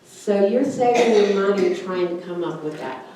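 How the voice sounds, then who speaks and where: A woman's voice: mid-range voice, moderate pace, mellow. An older woman born in Germany, telling her family's immigration story at a church forum in a conversational way, with many "you know"s and "uh"s. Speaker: guest speaker at church forum. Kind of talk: personal storytelling talk. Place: church hall. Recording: roomy hall, distant mic, background noise.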